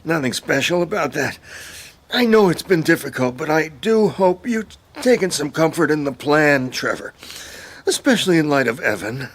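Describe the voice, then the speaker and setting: An actor playing a wounded, eccentric older man in an audio drama, dying yet calm and whimsical, rambling wryly and haltingly. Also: fairly high pitch. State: weak from a gunshot wound. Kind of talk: naturalistic audio drama acting.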